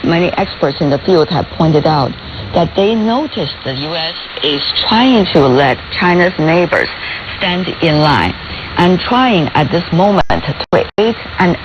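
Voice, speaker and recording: Formal interviewer on muffled, noisy radio. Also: mid-range voice. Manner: formal, deliberate, long-winded questioning. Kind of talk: formal news interview questions. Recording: dull lo-fi radio relay with static noise.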